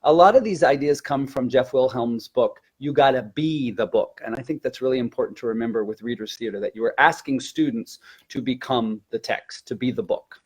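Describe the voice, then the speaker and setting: A man's voice: medium pitch, brisk, few pauses, slightly rough. A man speaking as a teacher trainer in a conversational webinar, explaining things and voicing example classroom instructions. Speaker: teacher trainer, elementary language teacher.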